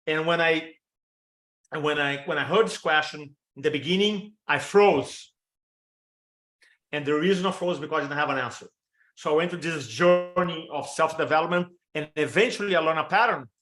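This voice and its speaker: A fairly high-voiced man in his fifties with a Brazilian Portuguese accent, coaching an audience on a webinar. He talks with energy and rattles off lists of examples. The sound comes through a video call. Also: moderate pace, a bit bright. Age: 50s. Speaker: motivational speaker and storytelling coach. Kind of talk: training talk on a webinar.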